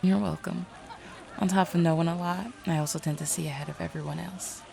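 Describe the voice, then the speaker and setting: Woman doing low super fry-heavy lady mayor, audio rp, confident n shrewd, folksy, straight to the point. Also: steady tone, a little fast, crowd noise. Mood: knowing, teasing. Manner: direct, knowing, folksy. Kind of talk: audio roleplay acting.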